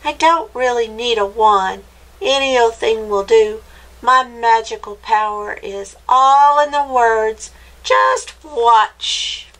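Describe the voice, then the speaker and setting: A woman voicing a gleeful little old witch with a high, screechy voice, chanting spells theatrically in a children's storybook. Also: animated, slow pace, fairly bright tone, poor audio. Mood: coaxing, boastful. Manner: theatrical, exclamatory, chanting nonsense rhymes.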